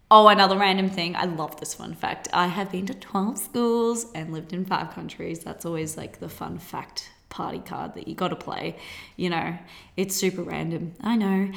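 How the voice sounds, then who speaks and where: Young australian woman, bright tone, bubbly, chatty podcast host. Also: mid-range voice, gravelly. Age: 20s. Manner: bubbly and chatty, quick laughs. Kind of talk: solo podcast monologue.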